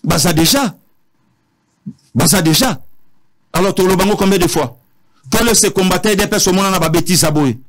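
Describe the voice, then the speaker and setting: Emphatic Congolese man, higher-pitched voice. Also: normal speed, long pauses. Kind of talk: animated political discussion, switching between languages.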